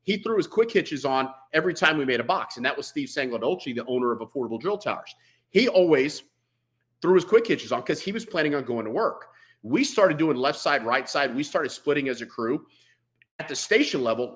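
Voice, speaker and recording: A man's voice: mid-range voice, very animated, brisk, fairly bright tone. A man speaking as a veteran firefighter in a conversational interview, with a blunt, colloquial and emphatic manner. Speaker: veteran firefighter, podcast guest.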